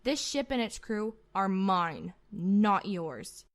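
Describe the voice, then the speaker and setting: A woman's voice: medium pitch, expressive, takes it slowly. A woman acting as a proud, commanding female admiral in a sci-fi roleplay, curt and sardonic. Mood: defiant, stern. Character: proud, sharp-tongued female starship admiral, commanding and unafraid.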